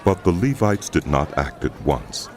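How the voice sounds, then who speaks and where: A man narrating a dramatized audio Bible in a solemn, measured storytelling style. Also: low-pitched, sing-song, darkish tone, a faint crowd, slight background noise. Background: background music.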